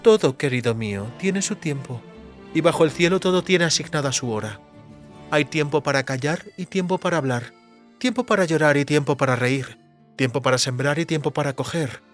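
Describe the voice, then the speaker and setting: An actress playing a haughty, teasing sorceress in an audiobook drama, speaking in an imperious, ornate, lecturing way. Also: female voice, low-pitched, normal speed, lightly acted. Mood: indignant, lecturing. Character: haughty, teasing sorceress lover, woman, demanding compliments. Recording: music behind the voice.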